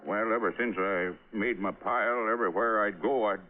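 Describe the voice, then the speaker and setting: An actor doing an old American Western rancher, full of folksy bluster and good heart. The voice sits fairly low and drawls along in old radio drama style. The recording is thin and muffled, like a vintage broadcast. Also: male voice, steady tone, normal speed, close to an everyday voice. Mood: sheepish. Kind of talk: 1950s radio drama acting.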